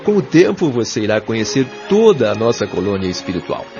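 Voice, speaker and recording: Man acting a kindly Brazilian mentor, expressive intonation. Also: fairly low pitch. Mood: reassuring. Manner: measured, didactic, carefully enunciated. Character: Lísias, kindly patient male spirit guide and mentor. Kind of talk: audio drama acting, explanatory. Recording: dull lo-fi audio, music behind.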